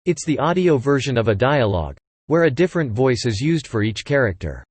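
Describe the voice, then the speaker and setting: A man's voice: medium pitch, very animated, brisk, breathy edge, no echo. American man, dry synthetic promo voice. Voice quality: synthetic text-to-speech voice. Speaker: promotional narrator. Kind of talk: scripted promotional dialogue.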